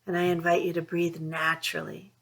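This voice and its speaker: A woman leading a guided mindfulness meditation, speaking in a soothing, unhurried way with long pauses between phrases. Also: on the low side, monotone, poor audio. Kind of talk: guided meditation, gentle instruction.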